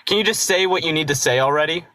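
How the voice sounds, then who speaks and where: A man's voice: on the high side, fast, bright, forceful tone, slight background noise. A young man playing a sullen, rebellious teenage brother in an amateur radio play, defensive and sarcastic. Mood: defiant.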